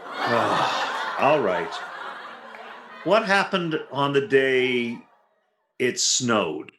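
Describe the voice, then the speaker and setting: A man's voice: mid-range voice, very animated, drawn-out words, noisy background. A man playing a jealous, exasperated, wisecracking husband in old-time radio comedy, grumbling and sarcastic in an echoing room. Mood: suspicious, impatient.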